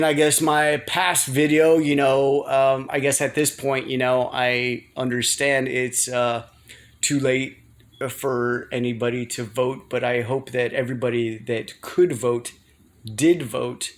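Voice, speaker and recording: An American man rambling casually to viewers in a vlog, halting often, repeating himself and circling back. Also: mid-range voice. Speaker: video blogger.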